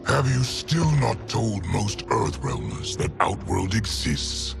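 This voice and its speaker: Casting: menacing game warlord, very gravelly low voice, scornful taunts over loud music. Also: male voice, lively intonation, slow, full character voice. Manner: taunting, contemptuous. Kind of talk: video game villain acting.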